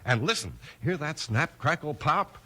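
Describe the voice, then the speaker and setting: A man's voice: on the high side, lively intonation, normal speed, pushed and bright, husky. A man announcing and narrating in a scripted 1950s radio style.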